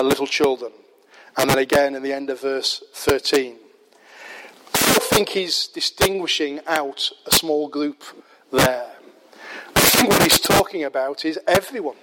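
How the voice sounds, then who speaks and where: A man with a Yorkshire accent preaching a measured, explanatory sermon, often pausing with "uh". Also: on the high side. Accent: Yorkshire English. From Yorkshire, England. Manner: measured, explanatory, with frequent "uh" fillers. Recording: loud background noise.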